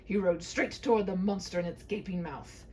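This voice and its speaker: A woman's voice: fairly low pitch, moderate pace, heavy background noise. A woman narrating a humorous written story with a theatrical, mock-grand storybook delivery.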